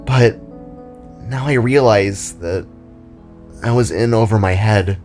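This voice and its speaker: A man's voice: fairly low pitch, takes it slowly, a little mellow. A man voicing an earnest, sensitive young boy in a narrated fantasy story. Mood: regretful, earnest. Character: earnest young boy spark, wondering, guilt-ridden friend. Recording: music behind the voice.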